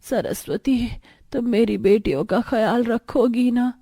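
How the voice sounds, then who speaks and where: A woman's voice: fairly high pitch, a little slow, warm tone, pitched above the performer's own voice. A woman voicing a dying mother, faltering and pleading, in a cartoon story. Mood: weak, pleading. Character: dying village mother, weak and anxious for daughters.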